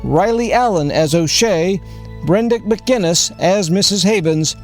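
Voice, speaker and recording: A man with a fairly high voice delivers a scripted podcast outro. Music plays behind him as he announces the show and reads the credits. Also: lively intonation, measured pace.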